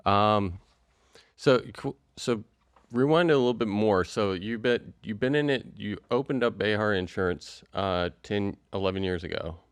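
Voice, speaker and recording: A man's voice: on the low side, normal speed, clear, resonant tone, dry recording. A man hosting a podcast interview, chatty and rambling with plenty of fillers. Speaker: podcast host.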